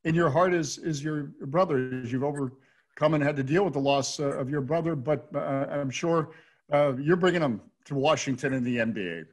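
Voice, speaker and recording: A middle-aged American man hosting a question-and-answer interview over a video call, halting and repeating words as he goes. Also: on the high side. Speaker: host, radio play-by-play announcer. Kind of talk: hosted Q&A interview. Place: indoor room at home, on a video call.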